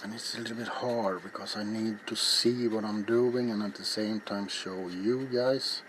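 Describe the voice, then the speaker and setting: A middle-aged man speaking softly in ASMR style as he demonstrates a craft, halting and often slipping into a whisper. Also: lowish voice, fairly even intonation, background noise. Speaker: ASMR video maker and host. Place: small cluttered indoor workshop.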